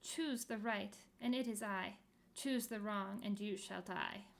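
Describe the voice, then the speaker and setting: A woman's voice: fairly high pitch, fairly even intonation, takes it slowly. A woman voicing an anguished spirit face that wails warnings in rhyme, in a storybook reading. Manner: wailing, rhyming, ominous.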